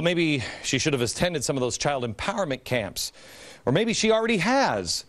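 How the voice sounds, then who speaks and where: A man in his forties delivers opinion commentary on a TV set, indignant and sarcastic. His pitch swings widely and sits fairly high for a man. He talks like he is putting on a show. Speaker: TV host. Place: large TV studio set. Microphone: studio mic, standing at camera.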